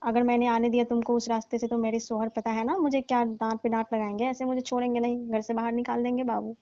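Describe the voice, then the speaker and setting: A wheedling, pleading middle-aged aunty, as an actress plays her on a phone call. Her voice sits fairly high but sounds mellow and dark. The line is muffled and dry, like a recorded phone call. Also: level tone, fast talker, natural voice. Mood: coaxing. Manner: wheedling, keeps calling him "babu". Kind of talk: scripted comedy phone call.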